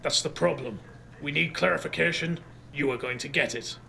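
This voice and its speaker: A man playing a gruff, impatient marshal in a cyberpunk audio drama, giving curt, demanding orders. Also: fairly high pitch, moderate pace, bright, forceful tone, soft background music, heavily acted. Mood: stern, impatient.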